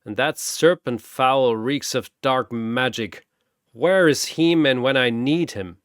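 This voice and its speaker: A man's voice: medium pitch, slow, clear, resonant tone, no room sound, lightly acted. A man voicing a weary, dignified older warrior king, dry and stoic. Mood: wary.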